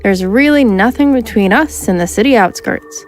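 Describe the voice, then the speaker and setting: A woman voicing a yellow-skinned alien smuggler pilot who trades quick teasing banter. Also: on the high side, faint music behind, close to an everyday voice.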